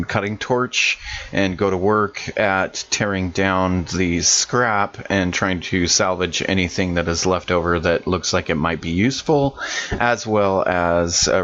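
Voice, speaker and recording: A man's voice: low-pitched, a bit bright. A man playing a tabletop game who rambles through his character's actions with lots of ums and uhs, over a dull, muffled mic. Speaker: player.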